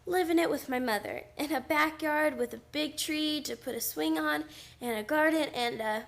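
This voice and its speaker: A high-pitched young schoolgirl played by a woman in a radio play. She is earnest and matter-of-fact, doubting and precise in her questions, with a creaky edge to the voice. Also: moderate pace, a bit bright. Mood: wistful.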